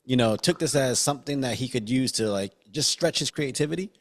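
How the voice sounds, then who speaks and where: A man's voice: mid-range voice, expressive, a little fast. A man hosting a casual film podcast, steering the chat with friends in a slangy, conversational way. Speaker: podcast host steering the discussion.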